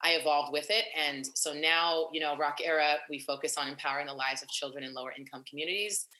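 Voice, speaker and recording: Low-pitched woman, bright forceful tone, animated interview talk, echoey room. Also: fast talker. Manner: animated, long-winded, many fillers and restarts. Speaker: activist and nonprofit founder. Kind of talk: casual interview conversation.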